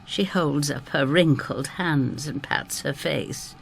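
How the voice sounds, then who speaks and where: An actress playing a plucky, down-to-earth older woman who narrates her adventure in an expressive, wry first-person style. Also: low voice, takes it slowly, gravelly, a little hiss or hum, exaggerated voice. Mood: wry storytelling. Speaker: narrator and lead actor.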